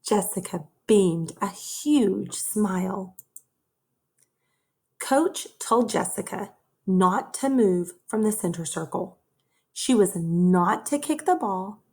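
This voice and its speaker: A woman reads a children's story aloud at an unhurried, slow pace. Her voice is a little husky and sits at an average pitch. She tells it expressively, like a teacher with young listeners. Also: animated, a little mellow. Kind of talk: children's read-aloud narration.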